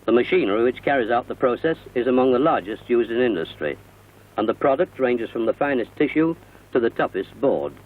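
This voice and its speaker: A British man narrates in the formal style of a 1960 industrial film, explaining each point in measured phrases. His voice is slightly rough and husky at a middle pitch. The sound is thin and narrow, like an old film soundtrack. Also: animated. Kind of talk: 1960 newsreel scripted narration. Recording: muffled, narrow-band vintage film soundtrack.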